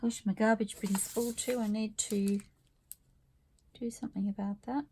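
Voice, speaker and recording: A woman losing her voice, talking quietly and thinking aloud as she works on a craft project in her studio. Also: medium pitch, fairly even intonation, normal speed, background noise. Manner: quiet, murmured asides to herself while working. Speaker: craft vlogger. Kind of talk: soft-spoken craft-along commentary, thinking aloud.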